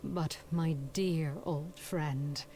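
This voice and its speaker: An actress playing a gentle, grave female dragon in a fantasy game, with solemn, measured delivery. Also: low-pitched, fairly even intonation, a crowd in the background. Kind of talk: scripted fantasy game dialogue. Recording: game music behind the voice.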